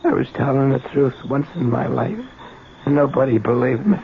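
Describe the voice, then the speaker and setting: An actor playing a rough, remorseful dying father in a 1970s radio drama, speaking halting, slangy tough-guy talk. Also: medium pitch, lightly acted. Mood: bitter regret. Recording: dull, noisy 1970s radio recording.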